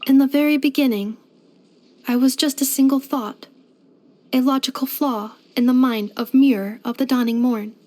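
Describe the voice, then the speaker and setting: A woman's voice: a little high, a little mellow, lightly acted. A woman voicing a polite, devoted household robot companion in a video game, speaking in formal, precise, computer-like phrasing. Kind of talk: scripted video game character voice lines.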